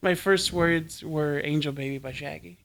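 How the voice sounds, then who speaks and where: A man hosting a podcast with a rather high, sharply bright voice. He talks casually but explains things earnestly and patiently, one step at a time. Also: steady tone, moderate pace, creaky. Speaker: podcast host.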